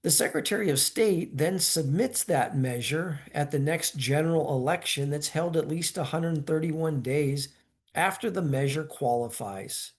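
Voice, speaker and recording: Higher-pitched man giving a measured lecture. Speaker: lecturer explaining state law. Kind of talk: explanatory lecture, semi-scripted.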